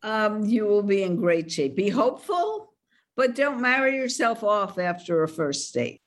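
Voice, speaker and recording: A woman's voice: medium pitch, sing-song, a little slow. A woman author answering interview questions with confident, emphatic advice. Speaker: author and dating expert guest.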